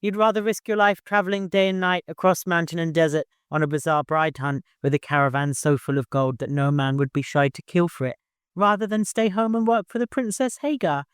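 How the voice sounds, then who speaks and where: A man's voice: on the high side, fairly quick, clean tone, no room sound, clean studio sound, pitched above the performer's own voice, natural voice. A man voicing a nervous but determined chamberlain in an audiobook, polite yet blunt. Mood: brisk, blunt.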